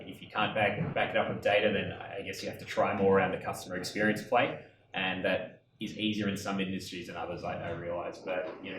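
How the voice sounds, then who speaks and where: A man on a conference panel wrapping up an answer in an easygoing, encouraging way. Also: low-pitched. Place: large echoey event room, seated. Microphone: handheld mic. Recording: echoey room from far off with noise.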